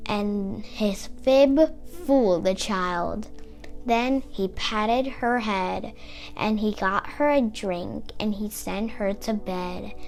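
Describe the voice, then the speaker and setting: Female children's storybook reader, expressive and deliberate, very unhurried, with faint music underneath. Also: medium pitch, rough recording. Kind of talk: expressive children's read-aloud of rhyming story.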